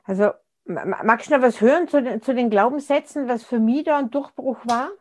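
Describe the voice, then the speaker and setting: A woman's voice: fairly high pitch, a little fast. A woman, speaking as an interview guest in a reflective, conversational way and often restarting her sentences as she searches for words. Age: 60s.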